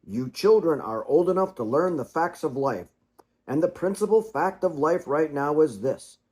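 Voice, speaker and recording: Brief: grand old father swan, as a reader puts him on, pompous and lively, husky. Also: medium pitch, normal speed, pitched above the performer's own voice, poor audio. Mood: lecturing. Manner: pompous and long-winded.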